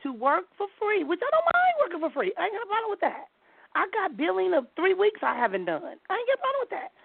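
A woman's voice: extremely high pitch, wildly swooping pitch, fast talker, gravelly, dry recording. A woman hosting a call-in talk show, blunt and outspoken, laughing often as she talks. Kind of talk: casual talk show over a phone line. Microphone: phone call. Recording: tinny phone line, dry.

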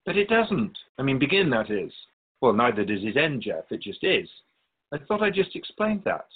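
A man playing a wise, patient otherworldly spirit in a radio drama, explaining things in a measured, gently pedantic way with a husky voice. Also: medium pitch, very animated, phone-quality sound. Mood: patient, knowing. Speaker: spirit being interviewed. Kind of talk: scripted radio drama dialogue.

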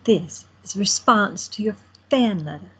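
A woman's voice: medium pitch, animated, normal speed, fairly bright tone, breathy edge. A woman playing a teasing, affectionate young woman in an audio drama. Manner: playful, teasing.

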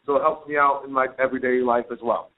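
A man's voice: mid-range voice, fairly even intonation. A young man, an acting student, calling in to a radio show and talking casually, often stumbling and repeating words. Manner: halting, repeats himself. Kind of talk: casual phone interview. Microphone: telephone. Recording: tinny phone line.

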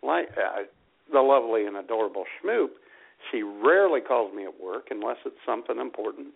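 A man co-hosting a podcast, telling work stories in a joking, animated chat. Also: medium pitch, brisk, gravelly. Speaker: podcast co-host. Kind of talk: casual chat. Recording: tinny phone-like lo-fi audio.

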